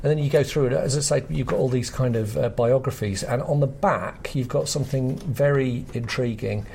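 A man's voice: mid-range voice, normal speed, creaky, a little mellow, a little hiss or hum. A British man hosting a podcast in a conversational, explanatory style. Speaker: podcast host, comedy academic.

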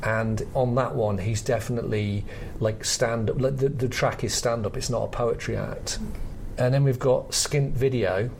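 A middle-aged British man with a creaky voice at medium pitch, explaining things conversationally as a podcast co-host. He is reflective and hesitant, feeling his way through each thought. There is faint noise behind him. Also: steady tone, moderate pace, few pauses, a little mellow. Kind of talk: conversational analysis of comedy history.

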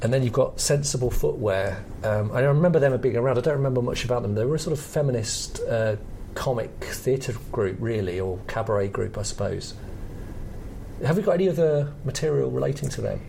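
A middle-aged British man with a creaky voice at medium pitch, explaining things conversationally as a podcast co-host. He is reflective and hesitant, feeling his way through each thought. There is faint noise behind him. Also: lively intonation, a little fast, dark tone. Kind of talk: conversational analysis of comedy history.

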